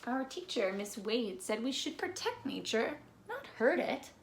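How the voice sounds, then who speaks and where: A woman reading a children's storybook aloud expressively, with deliberate phrasing and playful emphasis. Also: a little high, sing-song. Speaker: read-aloud presenter. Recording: echoey room with faint noise.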